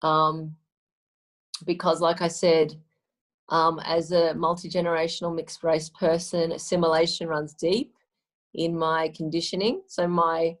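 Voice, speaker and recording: A woman's voice: sits low, level tone, unhurried. A woman with an Australian accent, a guest speaking emphatically in a casual conversation and repeating phrases for stress.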